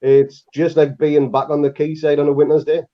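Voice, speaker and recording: A man from northeast England with a Northeast English accent, chatting in a relaxed way with dry humour on a podcast over a video call. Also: on the high side, normal speed, dull audio. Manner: laid-back, dry humour. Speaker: podcast co-host. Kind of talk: casual podcast chat.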